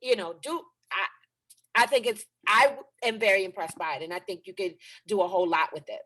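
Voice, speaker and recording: A woman giving casual feedback to fellow cast members after a read-through. She rambles, piles on slang and praise, and circles back to her point more than once. Her voice sits at an ordinary middle pitch. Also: a little fast, sharp tone, breathy edge.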